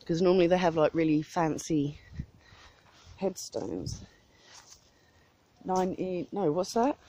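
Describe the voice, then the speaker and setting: A young woman vlogging as she walks through a churchyard, chatting softly and thoughtfully, filmed on a handheld camera at arm's length. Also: fairly low pitch, slow pace. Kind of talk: casual chat. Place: outdoor churchyard, windy. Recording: loud wind and outdoor noise.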